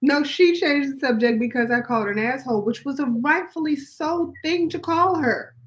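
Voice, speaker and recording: A woman guest in a casual talk, playful and joking, a little rough from a cough. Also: sits high. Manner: playful, quick with jokes and mock voices. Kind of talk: casual chat.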